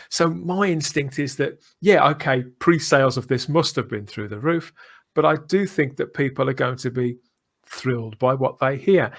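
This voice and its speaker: A man hosting a podcast in casual discussion, talking enthusiastically and discursively. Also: a little high. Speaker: podcast host.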